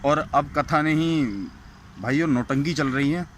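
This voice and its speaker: A man's voice: a little high, steady tone, unhurried. An indignant man giving an informal, scolding opinion rant as a commentator on a recorded call. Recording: very noisy phone call recording outdoors, vehicles.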